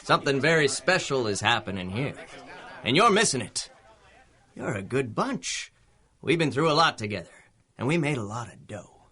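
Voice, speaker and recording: An actor playing a menacing, domineering gangster boss in a 1930s-style radio drama, talking in tough street slang. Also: male voice, mid-range voice, very animated, normal speed, long pauses, bright, forceful tone, breathy edge, full character voice. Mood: scheming, coaxing.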